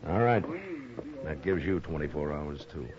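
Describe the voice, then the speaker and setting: A man's voice: rumbling low, normal speed, crowd noise. An actor playing a weary, stern western marshal in a 1950s radio drama, grave and laconic in his delivery. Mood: grim. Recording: muffled, noisy 1950s radio recording.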